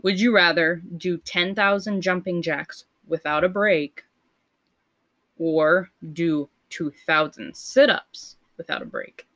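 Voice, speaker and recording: A woman's voice: takes it slowly, fairly bright tone. Giggly young woman reading poll questions. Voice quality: low voice. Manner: giggly, loose and chatty. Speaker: podcast co-host. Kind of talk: casual podcast chat, reading out poll questions.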